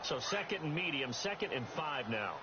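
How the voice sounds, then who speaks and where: A man's voice: on the high side, big pitch swings, moderate pace. A man calling a football game as a play-by-play commentator, stressing the big plays. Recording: muffled game stream, loud background noise.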